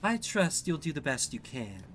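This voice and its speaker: A man's voice: on the high side, sing-song, normal speed, fairly bright tone, soft background music. A man voicing a sly, flirtatious winged harpy woman from a game, with a teasing manner. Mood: confident. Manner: teasing, saying darling.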